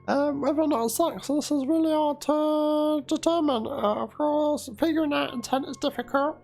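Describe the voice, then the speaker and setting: A performer voicing a hesitant, evasive streamer in a dramatic reading, halting and full of ums, with a squeaky high voice. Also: gravelly, darkish tone. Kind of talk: dramatic reading of chat messages. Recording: music under the voice.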